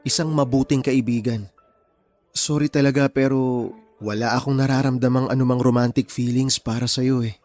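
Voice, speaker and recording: An actor playing a brainy, introverted, considerate young man in a radio drama, speaking in a measured, gentle way. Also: medium pitch, steady tone, faint music behind, close to an everyday voice. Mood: awkward, apologetic.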